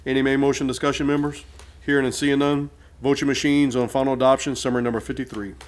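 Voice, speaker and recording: A man's voice: medium pitch, level tone, fast. A man chairing a council meeting in formal parliamentary procedure, speaking into a meeting microphone. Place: public meeting room.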